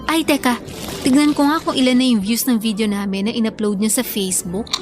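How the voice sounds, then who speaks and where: Actress as bashful young Filipino college woman, high-pitched. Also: steady tone, fairly quick, over music, close to an everyday voice. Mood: pleased. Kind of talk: Filipino radio drama dialogue.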